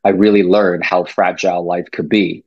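A man's voice: sits low, fairly even intonation, normal speed, no echo, lo-fi sound. A man from Taiwan in his late thirties, a podcast guest talking casually and reflectively, with many fillers and restarts. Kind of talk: casual interview talk.

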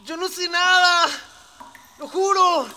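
An actor playing a terrified young alien prisoner in a radio drama, pained and pleading. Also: male voice, extremely high pitch, fairly even intonation, slow pace, sharp tone, heavily acted. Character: pale, terrified young alien male prisoner under torture.

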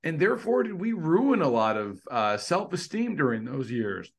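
A man's voice: on the high side, sing-song, moderate pace, creaky, husky. A middle-aged man co-hosting a casual discussion, musing tentatively with lots of fillers. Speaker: podcast co-host.

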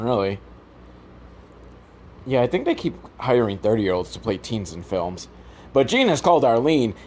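A man hosting a podcast, giving a casual, profane and sarcastic comedic recap of films. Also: medium pitch. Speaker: podcast host. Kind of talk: comedic film recap commentary, casual rant.

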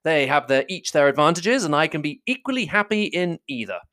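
A reader voicing an amiable, impulsive young gentleman with a polite, earnest, animated manner. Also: medium pitch, a little fast, pitched above the performer's own voice, close to an everyday voice. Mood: interested, agreeable.

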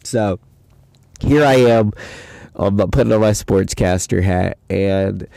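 A man's voice: lowish voice, slow pace, creaky, dark tone, no room sound. A young man hosting a casual solo podcast, talking in a loose, rambling, slangy way with a stuffy nose from a head cold. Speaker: podcast host.

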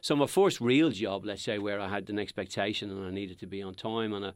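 Middle-aged dublin guy, lowish voice, rambling with lots of ums, dry close sound. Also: a little fast, smooth, no echo. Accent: Dublin Irish. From Ireland. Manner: rambling, many ums and restarts. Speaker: guest. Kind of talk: casual podcast interview.

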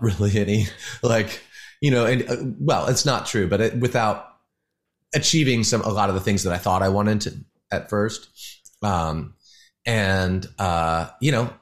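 Deep-voiced man, relaxed and rambling. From United States. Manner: relaxed, rambling with many fillers. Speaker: musician guest. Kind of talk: casual interview over a call. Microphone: phone or video call.

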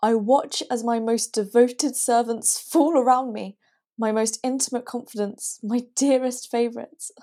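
A woman's voice: a little high, dark tone. A woman playing a proud, persecuted queen in a period audio drama, with formal, theatrical diction.

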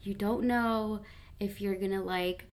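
A young woman from Colombia, a podcast co-host chatting casually and telling stories with excitement. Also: mid-range voice, a little slow. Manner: animated, excitable storyteller. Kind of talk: casual chat.

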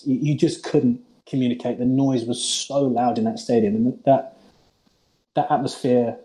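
A man's voice: mid-range voice, mellow, rough recording. A man, a former head coach, talking earnestly about past matches in a casual chat, often restarting his sentences.

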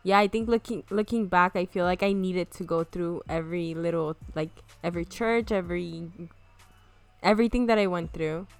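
Young woman from miami, low smooth voice, earnest podcast guest, faint music behind. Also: moderate pace. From Miami, United States. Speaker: podcast guest, school counselor. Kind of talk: casual chat.

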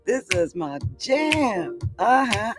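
A hoarse speaker chatting casually about astrology, rambling and giggling often. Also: mid-range voice, sing-song, slow pace, music in the background. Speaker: astrology vlogger.

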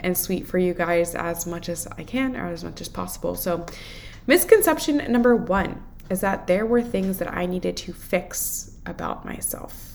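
A woman's voice: lowish voice, slight background noise. A woman with a head cold, congested, hosting a podcast alone in an earnest, encouraging, casual and unscripted way. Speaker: podcast host and self-improvement mentor.

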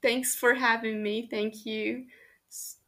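A young woman from China with a Chinese accent whose first language is Chinese, answering interview questions in an earnest, hesitant way with many pauses and fillers. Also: fairly high pitch, level tone, pushed and bright. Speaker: guest.

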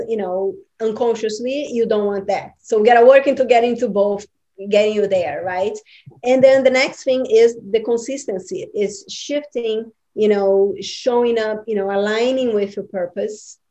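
A woman's voice: medium pitch, dark tone, lo-fi sound. A woman whose first language is Portuguese, an Akashic records teacher answering interview questions in an earnest, rambling coaching manner with many fillers.

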